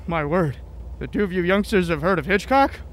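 A man's voice: high voice, lively intonation, a little fast. A man playing a chatty, genteel, easily flustered older motorist in an audio drama, courteous and old-fashioned. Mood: cheerful, curious.

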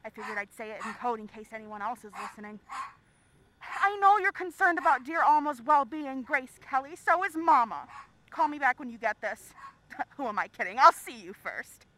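A woman acts out a quirky female neighbor with a very high voice. She is chatty, fussy and conspiratorial, as if leaving a secret message in a comic radio play. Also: lively intonation, normal speed, a bit bright, a little hiss or hum, rough recording. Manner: fussy, conspiratorial, throat-clearing. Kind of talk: comic audio drama acting.

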